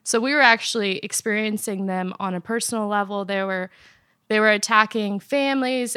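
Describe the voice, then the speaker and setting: Young female guest from Afghanistan, smooth clear voice, dry close sound, earnest and steady. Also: medium pitch, no room sound. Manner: earnest, steady, soft laughs. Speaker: interview guest. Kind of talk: personal storytelling in an interview. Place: apartment in Nashville.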